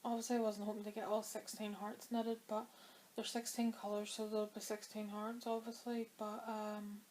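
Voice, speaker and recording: Flat-voiced woman with a dry throat. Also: mid-range voice, normal speed, slight background noise. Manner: chatty and rambling, with many fillers. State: dry throat, coming down with a cold. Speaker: knitting vlogger. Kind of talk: casual chat to camera.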